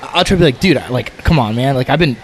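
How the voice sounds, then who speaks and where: A man's voice: medium pitch, animated, quick pace. A young man from Arizona whose first language is Spanish, a guest on a casual podcast, speaking in an easygoing, agreeable way full of fillers. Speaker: guest, MMA fighter.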